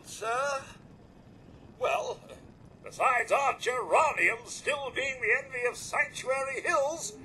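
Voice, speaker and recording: An actor with a British accent playing a polite, fussy, anxious robot butler in a video game, prim and theatrical. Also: male voice, very high voice, sharp tone, slight background noise, heavily acted, low-quality recording. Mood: chipper, polite. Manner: prim, formal, fretful, theatrical. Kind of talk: video game character acting.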